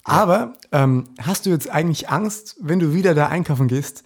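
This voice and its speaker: A man's voice: on the high side, lively intonation, moderate pace, slightly rough. A young man co-hosting a casual podcast on a close condenser mic, dryly ironic and agreeable. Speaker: podcast co-host. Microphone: close condenser microphone.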